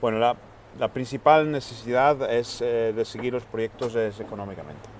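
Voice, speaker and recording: A man who coordinates a charity's refugee work answers interview questions earnestly, pausing often with "eh" and repeating words. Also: mid-range voice, darkish tone, slight background noise. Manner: earnest, searching for words with many hesitations.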